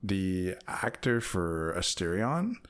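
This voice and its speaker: A man's voice: low voice, big pitch swings, slow pace, clean tone. A man co-hosting a podcast, talking casually about video games. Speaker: podcast co-host.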